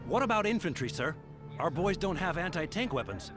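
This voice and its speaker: A man's voice: on the high side, very animated, a little fast. An actor playing an alert, dutiful soldier giving clipped, urgent reports to his commander in a war game. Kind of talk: video game battlefield reports. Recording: loud music behind the voice.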